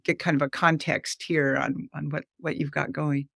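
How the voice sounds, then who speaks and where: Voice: mid-range voice, little pitch movement, normal speed, creaky, a bit bright, no room sound, studio quality. A co-host of an interview show, talking casually and guiding the guests through a demonstration.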